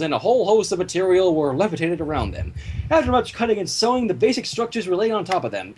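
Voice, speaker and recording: A man's voice: high voice, slight background noise, rough recording. A man narrating a fan fiction story aloud, with casual asides, in a home recording.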